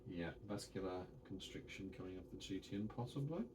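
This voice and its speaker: An Australian man talking calmly with his partner, matter-of-fact and clinical in tone. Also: deep voice, fairly quick, recorded from a distance, background noise. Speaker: partner and carer. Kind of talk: casual chat, calm check-ins.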